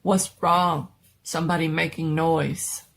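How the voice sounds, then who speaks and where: A woman's voice: low-pitched, level tone, slow, vocal fry, full character voice. A woman voicing a simple, laconic male assistant in a story reading, speaking in blunt, clipped phrases. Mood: sleepy puzzlement.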